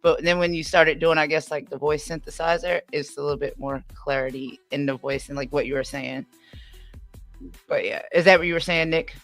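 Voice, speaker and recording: A woman's voice: low voice, steady tone, brisk, soft background music. A woman from the United States talking in a reflective, casual way on a live stream, using many fillers. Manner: reflective, filler-heavy, with many "you know"s. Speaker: debate guest.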